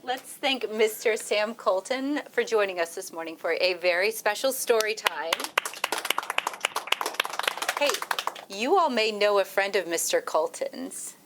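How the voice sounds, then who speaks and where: A cheerful woman librarian played by an actress addresses a story-time crowd in a polite announcer's manner. Her voice sits at an ordinary pitch, on a tape with faint noise. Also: close to an everyday voice. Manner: bright public-announcement delivery. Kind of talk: video game acting, event announcement.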